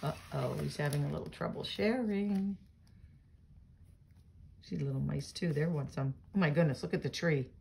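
Low-pitched woman reading a picture book. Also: normal speed, gravelly, pauses often, a little hiss or hum. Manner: expressive, child-directed. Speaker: storybook reader. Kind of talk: children's picture-book read-aloud with chatty asides.

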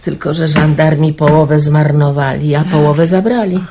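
A weary, kindly village aunt. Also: female voice, low-pitched, steady tone, moderate pace, dark tone. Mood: gossiping. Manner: sighing, resigned, kindly. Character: weary middle-aged aunt in wartime countryside. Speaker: aunt raising her niece. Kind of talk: radio drama acting.

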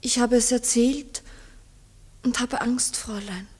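Little farm girl, radio drama: timid, earnest, hushed, breathy, highish voice. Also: steady tone, unhurried. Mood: timid, anxious. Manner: hesitant, hushed, simple short answers. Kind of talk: 1960s German radio drama acting.

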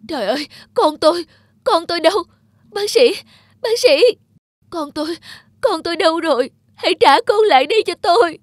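High-voiced anxious Vietnamese cartoon mother. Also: expressive, normal speed, no room sound, clean studio sound. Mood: frantic. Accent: northern Vietnamese. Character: anxious pregnant mother, strict but loving, in cartoon. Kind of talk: animated moral tale dubbing.

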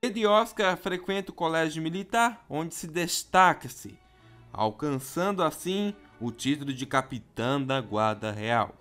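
Brazilian man, higher-pitched, casual commentary. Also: animated, a little slow, fairly bright tone, faint music behind. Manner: informal, slangy. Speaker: host of a manga commentary show.

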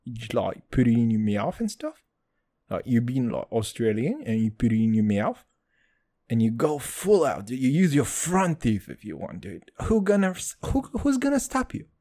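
Crude, excitable man ranting. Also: mid-range voice, normal speed. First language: Hebrew. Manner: crude, loud swings, calls listeners dude. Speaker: podcast host. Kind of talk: comedic solo podcast rant.

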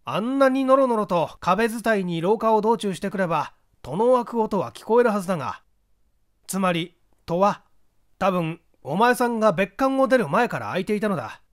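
A man playing a shrewd, kindly detective in a mystery story, speaking in a measured, gently probing manner. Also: sits high, lively intonation. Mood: consoling, explaining. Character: Kudayū, composed, kindly, shrewd amateur detective.

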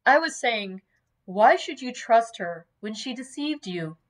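A woman reading a novel in the voice of an earnest, tender old man who is quick to heat up. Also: a little high, animated. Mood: defiant. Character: impassioned saintly old man, tender, hot-tempered, earnest.

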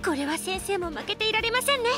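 A woman playing a kind, earnest young teacher in an anime-style radio drama, polite and gentle but determined. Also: very high-pitched, expressive, quick pace. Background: music.

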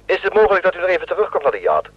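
A police inspector over the telephone in an old radio drama. He is formal and very quick, with a highish, muffled voice. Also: sing-song. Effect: telephone filter. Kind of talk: vintage Dutch radio play acting. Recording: dull recording, telephone-filtered voice.

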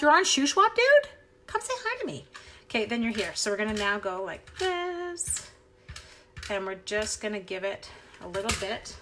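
A woman's voice: medium pitch, a bit bright, slight background noise. A middle-aged woman from Canada, chatty and upbeat, leading a live card-making tutorial at her craft desk while she talks with viewers.

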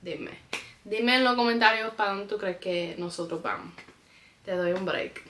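A woman's voice: medium pitch, unhurried, noisy background. Chatty Bronx-born woman. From New York, the Bronx. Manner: chatty, mixes English words into her Spanish. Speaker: family vlogger. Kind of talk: casual vlog chat to camera. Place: at home, packing a suitcase.

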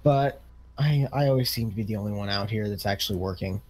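A man's voice: on the low side, fast, darkish tone, slight background noise, natural voice. Man playing easygoing farm equipment salesman. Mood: casual, businesslike. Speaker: role-play gamer playing dealership salesman. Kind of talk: improvised role-play dialogue.

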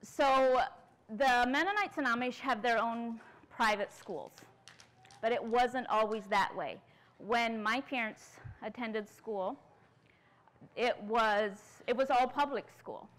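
A woman's voice: on the high side, slow, a bit bright. A woman in her forties from the United States, speaking earnestly to a conference audience in a large tent and asking them questions as she tells her story. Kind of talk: lecture.

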